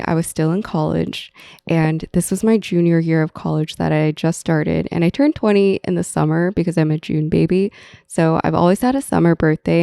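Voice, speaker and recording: A woman's voice: deep voice, creaky, warm tone, no room sound, studio quality. A woman in her twenties hosting a casual solo podcast, chatty and rambling, laughing often. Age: 20s. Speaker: podcast host.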